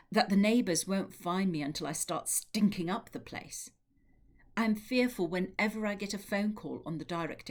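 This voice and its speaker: A woman reading a first-person novel aloud in a measured, expressive storytelling manner. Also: on the low side. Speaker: literary translator reading from a novel. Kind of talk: audiobook-style narrated prose reading.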